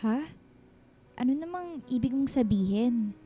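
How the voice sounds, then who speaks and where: A fairly high-voiced Filipino woman in a radio romance. She is a guarded single mother, chatty and teasing yet unsure of herself, with soft music behind her. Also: lively intonation, measured pace. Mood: teasing, evasive. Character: guarded, self-conscious single mother, playful with her old flame. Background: background music.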